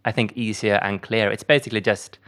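A man's voice: on the low side, little pitch movement, fast, a bit bright. A man chatting casually as a comedian guest in a radio interview, with playful, dry humour. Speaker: comedian guest promoting a show.

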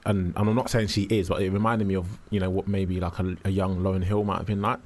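Young male radio host, low-pitched, casual and stop-start. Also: flat delivery, fast talker, darkish tone. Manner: hesitant, filler-heavy, friendly.

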